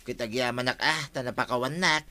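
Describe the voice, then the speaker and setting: A man's voice: mid-range voice, fairly quick, pushed and bright. An actor playing a sheepish middle-aged man caught stealing fish, apologizing and pleading, with an Ilocano accent.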